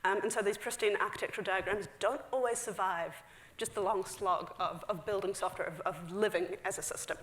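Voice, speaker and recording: Woman, 30s, conference speaker, chatty with lots of ums, mid pitch, roomy hall sound. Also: a bit bright. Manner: chatty, frequent fillers, quick asides with laughs. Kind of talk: technical conference talk. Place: large dark theater stage. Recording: echoey hall.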